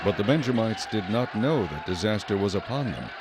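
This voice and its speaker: A man giving solemn, measured scripted narration for a dramatized Bible recording. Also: fairly low pitch, big pitch swings, background noise.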